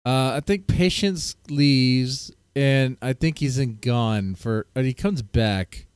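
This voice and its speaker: A laid-back man hosting a livestream, casually greeting viewers and chatting with his panel. He sounds close and dry on a desk mic, at an ordinary middle pitch. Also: takes it slowly, a little mellow, smooth, dry recording. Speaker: livestream host.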